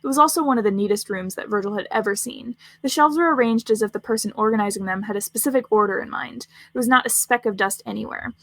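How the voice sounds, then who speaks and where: A woman's voice: on the high side, fast talker, few pauses, fairly bright tone, no echo. A woman narrating scripted fan fiction as a storyteller.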